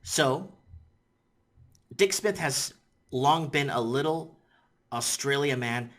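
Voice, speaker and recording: A man's voice: mid-range voice, animated, slow, fairly bright tone. A middle-aged man whose first language is Portuguese, rambling through opinionated casual commentary in a vlog and often repeating himself. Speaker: vlogger.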